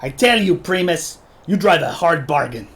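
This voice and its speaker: Man as a lively, folksy old-west drinker. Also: a little high, moderate pace, husky, heavily acted. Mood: nervous. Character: wry, tippling old-west train passenger, male. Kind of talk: audio drama acting.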